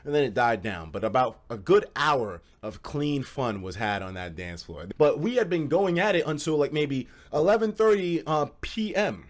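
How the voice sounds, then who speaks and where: A man's voice: mid-range voice, normal speed, hardly any pauses. A young man with an American accent telling a casual story to a live chat, slangy and profane, acting out the dialogue as he goes. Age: young adult. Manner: animated, slangy and profane, acts out quoted dialogue. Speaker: streamer.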